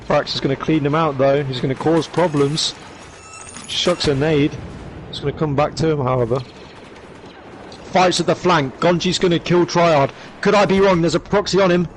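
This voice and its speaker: Hoarse man calling an esports match. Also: on the high side, a little hiss or hum. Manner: rapid excited calls with rising bursts. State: hoarse, losing his voice. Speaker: esports commentator. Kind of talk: play-by-play commentary.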